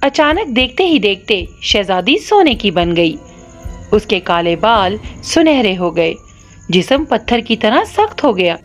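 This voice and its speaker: A woman narrating a scripted children's cartoon story in an expressive storytelling manner. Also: medium pitch, a little slow, faint music behind.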